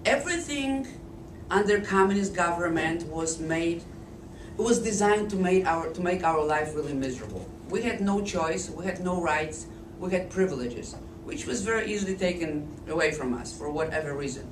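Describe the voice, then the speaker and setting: A woman in her fifties from Poland with a Polish accent, hoarse from a cold, telling her life story slowly and deliberately with frequent restarts. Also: on the low side, lively intonation. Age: 50s. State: hoarse, voice lowered by illness. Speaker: interviewee recounting life story. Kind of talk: oral history testimony. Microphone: Flip camcorder at a distance. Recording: echoey, distant camcorder audio with noise.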